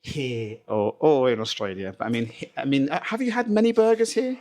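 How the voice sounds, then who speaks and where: A man's voice: medium pitch, animated, brisk, darkish tone. A man hosting a podcast, chatting casually and stumbling a little as he words his questions. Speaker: podcast host. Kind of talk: casual interview chat.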